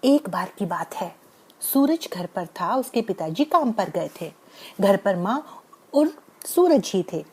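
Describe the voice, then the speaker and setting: A woman's voice: medium pitch, big pitch swings, slow pace, warm tone, husky. Woman reading out a kids moral story, mid-range voice, scripted storytelling. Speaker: story narrator. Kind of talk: scripted bedtime story narration.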